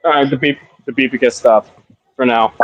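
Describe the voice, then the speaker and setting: A man's voice: medium pitch, fairly even intonation, moderate pace. A man in his twenties in casual live-stream chat, joking around and fond of silly voices. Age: 20s.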